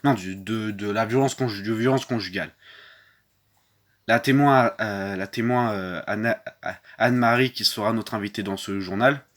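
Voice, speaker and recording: A man's voice: fairly low pitch, normal speed, a bit bright. A man hosting a mock newscast, stumbling through constant restarts and fillers. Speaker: mock news anchor. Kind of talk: improvised parody newscast.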